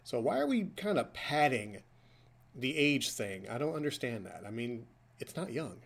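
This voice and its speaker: A man's voice: mid-range voice, big pitch swings, normal speed, creaky. A man in his forties hosts a casual podcast, chatting and ribbing his co-host in a mid-range voice. He talks from a hotel room in an easygoing, joking way. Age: 40s.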